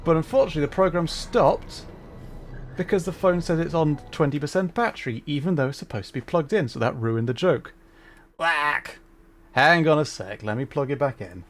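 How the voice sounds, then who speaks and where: A man's voice: a little high, lively intonation, normal speed, soft background music. Chatty man streaming a game. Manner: chatty, self-deprecating, with frequent laughs. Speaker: VTuber streamer. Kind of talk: casual gameplay commentary.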